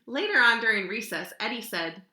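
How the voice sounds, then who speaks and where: A woman reading a children's picture book aloud in an expressive, dramatic way, drawing out key words. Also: fairly high pitch, fairly quick, echoey room. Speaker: storybook reader.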